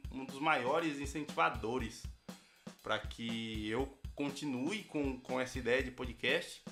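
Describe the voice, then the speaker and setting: Bright, higher-pitched Brazilian man. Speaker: guest podcaster. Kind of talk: informal recorded message.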